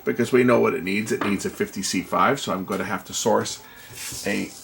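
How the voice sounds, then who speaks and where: A man's voice: lowish voice, a little fast, creaky. Man thinking aloud, music behind. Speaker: host of a radio repair video. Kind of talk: casual hands-on workbench commentary. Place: indoor electronics workbench.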